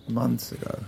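An actor playing an earnest, frustrated husband, speaking in a strained, halting, naturalistic way in an audio drama. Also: male voice, darkish tone. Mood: guarded, matter-of-fact.

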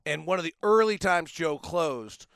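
A man with a high, bright voice and some gravel in it hosts a sports podcast. He talks in a casual, animated, conversational way, rambling into asides. The sound is crisp and close. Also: a little slow, dry recording. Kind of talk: casual basketball analysis and conversational ad reads.

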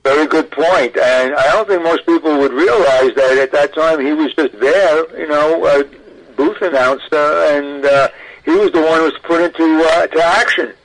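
A man's voice: a little high, normal speed, poor audio. An older man recalls things in a radio interview, talkative and emphatic, often interrupting himself. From Brooklyn, New York. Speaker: interview guest, audio archivist. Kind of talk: animated personal recollection in a radio interview.

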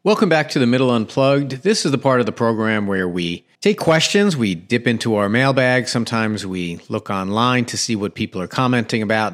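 A man's voice: fairly low pitch, a bit bright. A man hosting a talk radio show, with a conversational, emphatic delivery that often restarts mid-sentence. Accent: New York. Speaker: radio host.

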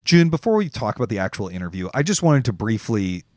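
A man's voice: fairly low pitch, sing-song, fast talker, a little mellow, dry recording, studio quality. A middle-aged man co-hosting a podcast in a casual, conversational style. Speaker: podcast co-host. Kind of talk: casual co-host chat.

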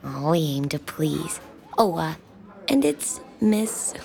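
An actress playing a forthright small-town diner waitress in an audio drama, wry, folksy and warm-hearted. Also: female voice, sits low, animated, slow, vocal fry, heavily acted. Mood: friendly, brisk. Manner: teasing, folksy, direct. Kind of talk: naturalistic audio drama dialogue.

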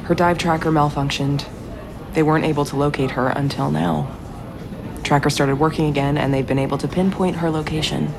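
Gravelly, guilt-ridden woman over loud rumble. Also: steady tone, a little fast. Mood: grieving, strained. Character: guilt-ridden woman diver grieving an old friend. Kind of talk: naturalistic audio drama acting.

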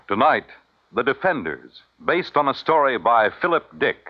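A man's voice: mid-range voice, very animated, normal speed, dull audio. A man playing a grandiose, solemn announcer in a 1950s radio drama, declamatory and portentous, on an old recording. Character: grandiose, solemn announcer of futuristic tales and war propaganda. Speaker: announcer and newsreel narrator.

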